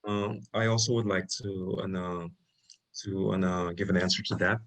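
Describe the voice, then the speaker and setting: Low-voiced halting man on a video call. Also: fairly even intonation, fairly bright tone. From Suriname. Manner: halting, repeats words while searching. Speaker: panelist. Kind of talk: panel discussion.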